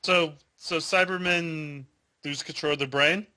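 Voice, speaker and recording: Male panelist, high bright voice, combative and quick to object. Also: fairly even intonation. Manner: argumentative, quick to push back. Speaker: podcast co-host. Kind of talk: casual review discussion.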